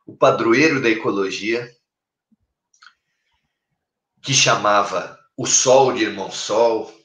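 Brazilian man in his fifties, spiritual speaker on a webcam; slow, bright and animated, in an echoey room. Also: fairly low pitch. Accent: Brazilian Portuguese. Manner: expressive, gestures widely, preacherly cadence. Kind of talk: spiritual talk on a live stream. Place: home room, indoors. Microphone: webcam on a video call.

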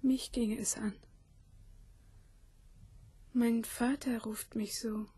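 A breathy, whispering female river spirit in an intimate radio play. She speaks very slowly and haltingly, with a mellow, fairly high voice. She sounds fearful and wistful. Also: fairly even intonation, dry recording, full character voice. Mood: fearful, hushed. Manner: halting, hushed.

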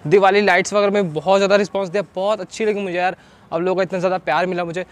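A man's voice: sits high, fairly even intonation, racing. A man, chatting casually to his viewers as a vlogger. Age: 20s. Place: outdoors, selfie intro and open-air street market. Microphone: handheld camera or phone at arm's length.

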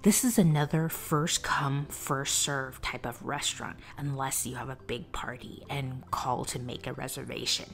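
Low, whispering woman food vlogger. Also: normal speed, few pauses. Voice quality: soft, breathy whisper. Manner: calm, descriptive, enthusiastic about food. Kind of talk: whispered food review voice-over.

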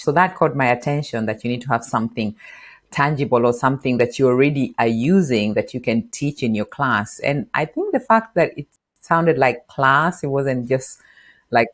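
A middle-aged woman from Kenya, a podcast guest, explaining marketing in an animated interview on a lapel mic in a home office. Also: a bass voice, moderate pace. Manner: animated, gestures while explaining. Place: bright home office with bookshelf.

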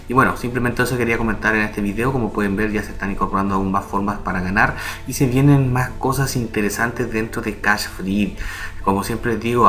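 A man's voice: fairly low pitch, pushed and bright. A man giving a chatty, step-by-step voice-over for a screen-recorded tutorial, often checking in with the viewer as he explains. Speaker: online earnings tutorial creator.

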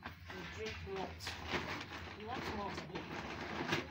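A woman's voice: medium pitch, steady tone, slow, far from the mic. A woman in her thirties with a Nigerian accent, chatting playfully as she films her family vlog. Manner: chatty, playful, laughs often. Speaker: vlogger. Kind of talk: casual vlog chat. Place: outdoor yard and indoor kitchen. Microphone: handheld phone camera. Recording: noisy handheld phone audio.

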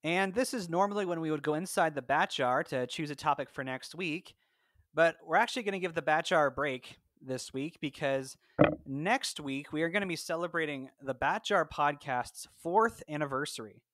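A man's voice: on the high side, normal speed, fairly bright tone, no echo. A man hosting a podcast in a conversational style on a close mic. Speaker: podcast host. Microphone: close personal mic, remote recording.

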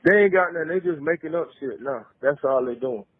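A man with a husky voice in casual phone talk, reassuring and repeating his phrases. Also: on the high side, brisk. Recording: tinny phone line.

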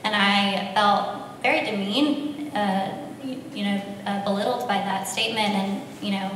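A woman's voice: medium pitch, steady tone, unhurried, fairly bright tone, a little hiss or hum. A young woman on a panel telling a personal story earnestly with frequent fillers, holding a handheld mic in a large brick-walled gallery. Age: young adult. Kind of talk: panel discussion. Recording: echoey hall from far off.

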